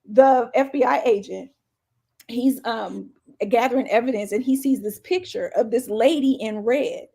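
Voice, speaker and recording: A woman co-hosting a podcast in casual, slangy chat, telling things in an animated, playful way. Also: a little high, a little slow, creaky, slightly rough. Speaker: podcast co-host.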